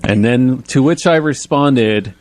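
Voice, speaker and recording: A man chatting casually as a radio guest who makes audio drama, explaining things in a rambling, halting way. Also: medium pitch, a little mellow.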